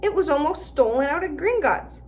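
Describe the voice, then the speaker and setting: A woman reading aloud and voicing a big, secretive gamekeeper man who talks roughly and drops word endings. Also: high voice, animated, normal speed, a little hiss or hum, voice pushed up. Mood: firm.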